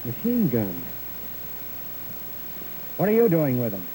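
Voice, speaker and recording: Determined commanding hero, 1930s serial, dark tone, old film transfer noise. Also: male voice, mid-range voice, huge pitch swings, slow, poor audio. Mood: brisk.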